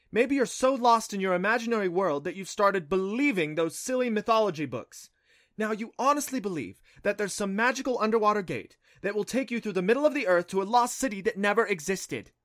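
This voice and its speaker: A man's voice: sits high, animated, a little fast, a bit bright, voice pushed up. A man voicing a stern, exasperated mother archaeologist who scolds her son. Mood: scolding. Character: stern worried mother archaeologist, middle-aged woman.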